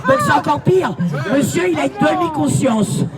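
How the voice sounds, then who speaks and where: Angry woman shouting on a street. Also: sits high, animated, normal speed. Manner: insulting, mocking, shouting. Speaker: protester. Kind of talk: heated street argument. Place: city street, outdoors. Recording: street crowd and loud music, distant.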